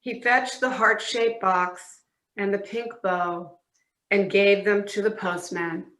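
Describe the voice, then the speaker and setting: Woman, storybook narrator, bright voice, readers theatre, echoey room. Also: mid-range voice, steady tone, takes it slowly.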